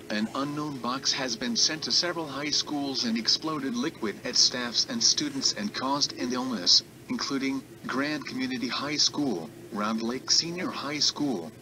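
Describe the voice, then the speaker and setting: A man playing an emergency alert announcer, giving a scripted warning in a slow, formal, even official delivery. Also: mid-range voice, noisy background, close to an everyday voice. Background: music playing under the voice.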